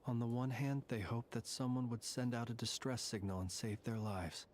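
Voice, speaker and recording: An actor playing a solemn, courtly lighthouse warden who consoles ghosts in a video game, measured and eloquent. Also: male voice, mid-range voice, steady tone, a little mellow, slight background noise, close to an everyday voice. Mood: sorrowful, reflective. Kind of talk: video game character acting.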